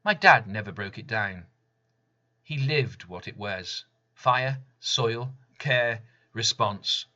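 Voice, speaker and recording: A man reading his own poem deliberately, in short emphatic phrases with pauses. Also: fairly low pitch, lively intonation, sharp tone, highs cut off. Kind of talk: poetry recitation.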